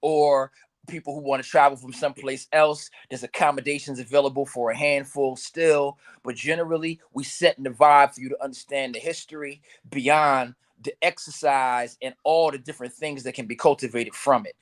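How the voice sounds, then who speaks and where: A man's voice: mid-range voice, steady tone. Laid-back man rambling on a video call. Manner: laid-back and rambling, with many ums and slow stretches. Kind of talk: casual podcast talk.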